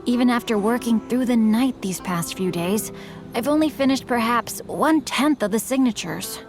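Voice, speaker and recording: A woman's voice: fairly high pitch, moderate pace, over music, lightly acted. A woman voicing a polite young male swordsman and novelist in a video game, with formal, courteous phrasing. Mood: earnest.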